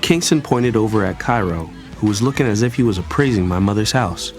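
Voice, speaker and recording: A young actor playing an idealistic younger brother tells his story in first person. His pitch sits in an ordinary male range but moves with lively inflection, over faint music. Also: fairly quick. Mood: reflective, storytelling. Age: young adult. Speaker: narrator and character. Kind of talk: first-person scripted audio drama narration.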